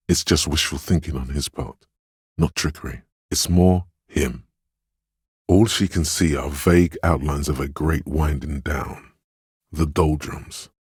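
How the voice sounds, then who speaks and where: A man voicing a grave, compassionate inner voice in a video game, narrating in a measured, solemn, confiding way with a gravelly, husky tone. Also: extremely low pitch, dry recording, clean studio sound, heavily acted. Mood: somber. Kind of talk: scripted game narration, literary and brooding.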